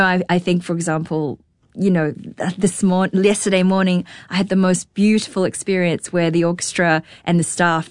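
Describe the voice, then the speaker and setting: Kiwi woman in her 30s, kinda low voice, earnest, lots of questions, dry close sound. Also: no room sound. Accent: New Zealand. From New Zealand. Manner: earnest, searching, many rhetorical questions. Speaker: guest, orchestra conductor. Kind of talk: reflective interview conversation.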